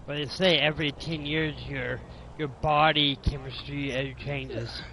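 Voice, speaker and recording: Stammering bright-voiced young gamer man. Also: a little high, fairly even intonation, measured pace, a little hiss or hum, low-quality recording. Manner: stammering, repeats his words. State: sniffles. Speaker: gamer recording gameplay. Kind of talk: casual chat.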